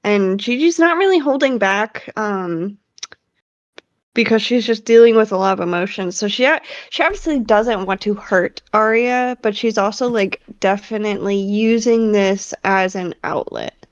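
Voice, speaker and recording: A woman's voice: mid-range voice, measured pace, no room sound. A woman playing a tabletop roleplaying game, casually narrating and discussing her character's moves, on lo-fi audio.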